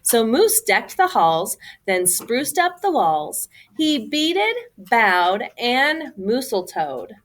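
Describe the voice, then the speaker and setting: Woman, higher-pitched voice, swooping intonation, theatrical children's read-aloud, emphatic storytelling. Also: takes it slowly, few pauses. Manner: expressive, emphatic, theatrical delivery. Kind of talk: animated children's picture-book read-aloud.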